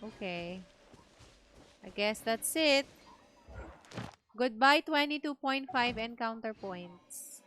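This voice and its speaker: A woman with a Filipino accent giving casual, low-key live commentary as a game streamer. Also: expressive, takes it slowly, pauses often, pushed and bright, a little hiss or hum. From Philippines. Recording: faint game music behind.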